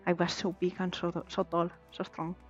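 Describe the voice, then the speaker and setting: Catalan-native woman streaming a game, chatty and easygoing, lo-fi sound with soft music behind. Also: lowish voice, completely flat delivery, normal speed. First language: Valencian/Catalan. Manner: chatty, laughs easily, reads game text aloud. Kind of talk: casual chat while gaming. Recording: slightly dull lo-fi stream audio. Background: faint game music.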